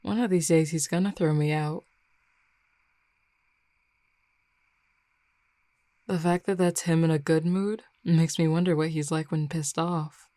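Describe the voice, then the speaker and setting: A woman's voice: low voice, steady tone, moderate pace, mellow, no room sound, studio quality, natural voice. A woman voicing a confident, flirty female werewolf in an audio roleplay, playful and teasing. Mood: playful, smug. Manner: playful, teasing, self-assured. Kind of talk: scripted audio roleplay acting.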